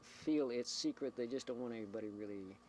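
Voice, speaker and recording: A man with an average-pitched voice explains his work as a small community radio operator. He talks informally and deliberately, picking his words with care. The old documentary tape carries clear, steady rumble and noise around him. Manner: halting, deliberate, choosing words carefully. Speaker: community CB radio station operator and announcer, interviewee. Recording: noisy 1990 documentary tape, vehicle or generator rumble.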